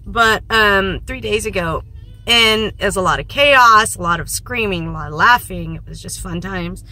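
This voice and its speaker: A woman in her thirties with a hoarse, fading voice, chatting casually and rambling in a vlog from a parked car into a phone held at arm's length. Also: mid-range voice, normal speed, pushed and bright, poor audio. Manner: rambling, self-mocking, laughs often. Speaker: vlogger.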